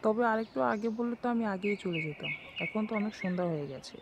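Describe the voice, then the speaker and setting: A woman's voice: mid-range voice, expressive, quick pace, background noise, natural voice. A woman playing a dutiful, easily frightened young daughter-in-law in a village cartoon, plaintive and deferential. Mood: dutiful, reluctant.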